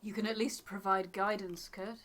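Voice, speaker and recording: A woman acts out a decisive expedition captain from a game. She is calm and direct, with a clear firmness. The voice is bright and composed. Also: medium pitch, normal speed, lightly acted. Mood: calm, firm.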